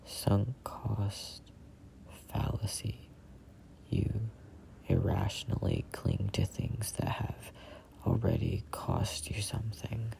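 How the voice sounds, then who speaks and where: Slow whispered read-along with heavy creak. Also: low voice, huge pitch swings, dark tone. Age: 20s. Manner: halting, deliberate phrasing with stumbles over words. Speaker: reader of a self-help book.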